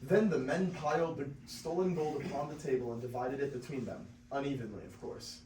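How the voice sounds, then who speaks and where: A man's voice: mid-range voice, a little fast, a little mellow. A teenage boy narrating a scripted story as part of a classroom reader's theater. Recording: echoey classroom, distant mic, faint noise.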